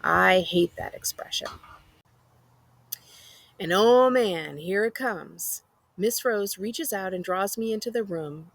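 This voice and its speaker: A woman librarian reading a first-person children's novel aloud as expressive narration. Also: medium pitch, dry recording.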